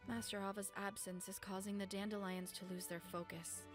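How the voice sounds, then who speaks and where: A woman's voice: medium pitch, little pitch movement, brisk, close to an everyday voice. Woman acting an earnest young warrior, music behind. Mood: concerned. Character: earnest, determined young warrior worried about war. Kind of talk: fan-dub game cutscene acting. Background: music under the dialogue.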